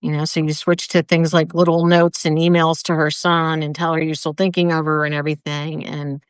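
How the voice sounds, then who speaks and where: A speaker in a casual conversation, reflective and soft-spoken, often restarting sentences. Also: mid-range voice, little pitch movement, brisk, clean tone, no room sound, clean studio sound.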